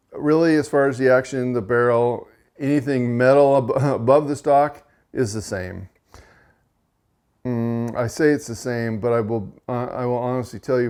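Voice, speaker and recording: Male channel host, mid-pitched monotone, casual product-review read with fillers and restarts. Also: clean tone. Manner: relaxed, with frequent fillers and self-corrections.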